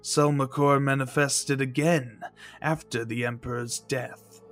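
A man reads scripted drama narration at a slow, deliberate pace. His voice sits at a medium pitch, with faint music underneath. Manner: measured, dramatic.

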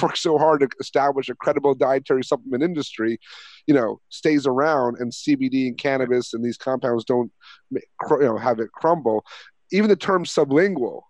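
A man's voice: mid-range voice, darkish tone, dry recording. Guy hosting a health podcast, rambly and earnest, dead dry room. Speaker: podcast host. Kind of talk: casual interview chat.

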